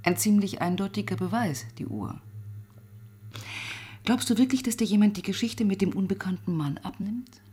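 An actress playing a weary, relentless police detective who also narrates in a radio crime drama, intense and often dropping to a whisper. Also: female voice, medium pitch, expressive, normal speed, warm tone, slightly rough. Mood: pressing, accusing.

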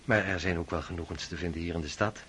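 An actor playing a smooth, flattering young officer in a radio play, speaking in a courtly, ingratiating way. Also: male voice, deep voice, fast, a little hiss or hum.

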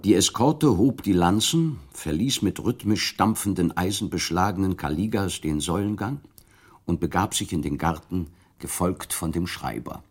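A man narrating a literary radio play in a composed, measured, descriptive manner. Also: low-pitched, darkish tone, soft background music, lightly acted.

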